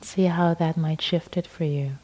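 A middle-aged woman whose first language is Farsi, leading a guided meditation in a slow, soothing way with long pauses. Her breathy voice drifts at times into a whisper. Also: deep voice, steady tone, a little mellow. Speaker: meditation teacher.

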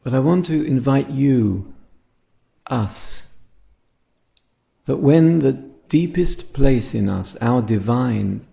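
A man guiding a meditation as a teacher, speaking gently and contemplatively in short phrases separated by pauses. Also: medium pitch, lively intonation, vocal fry. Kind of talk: guided meditation talk.

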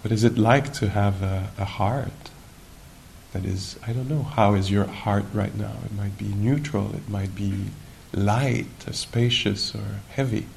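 A man leading a guided meditation as a teacher at a retreat, speaking in an unhurried, musing way with gentle questions and long pauses. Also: steady tone, gravelly. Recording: noisy live recording with low rumble.

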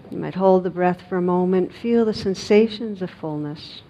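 A woman meditation teacher leading a guided meditation in a gentle, unhurried manner with long pauses, on a recording with some noise. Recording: dull recording with noise and rumble.

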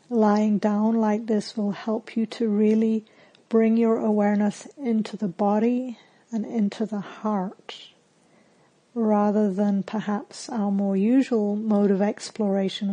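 Slow, very mellow woman guiding meditation. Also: medium pitch, low-quality recording. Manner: calm, gentle, soothing, with long pauses. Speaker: meditation teacher. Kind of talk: guided meditation.

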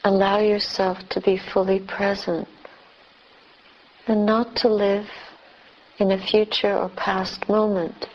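Slow-speaking woman guiding meditation. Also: mid-range voice, little pitch movement, highs cut off. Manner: gentle, measured, with long pauses between short phrases. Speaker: meditation teacher, Buddhist nun. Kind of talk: guided meditation.

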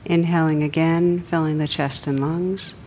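Slow, low-pitched woman guiding meditation. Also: highs cut off. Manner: gentle, unhurried instruction with long pauses. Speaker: meditation teacher. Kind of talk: guided meditation. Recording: steady background noise with low rumble.

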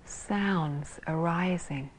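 A woman teaching meditation in a soft, breathy, husky voice, calmly and gently guiding with long pauses between phrases. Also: fairly low pitch, poor audio. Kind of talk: guided meditation.

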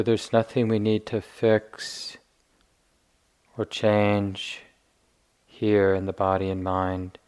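A man with a fairly low, very even voice leads a guided meditation. He speaks very slowly and gently, leaving long pauses between short, deliberate phrases. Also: smooth. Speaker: meditation teacher.

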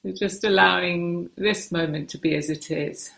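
A woman meditation teacher giving gentle guided instruction in short, unhurried phrases with hesitant pauses, recorded in a roomy, echoing space. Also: on the low side, steady tone, vocal fry.

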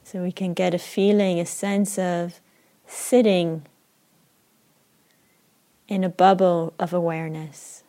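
A woman with an Israeli accent leading a guided meditation, speaking slowly and softly with long pauses. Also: lowish voice, level tone. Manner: slow, soft and unhurried, with long pauses. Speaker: meditation teacher.

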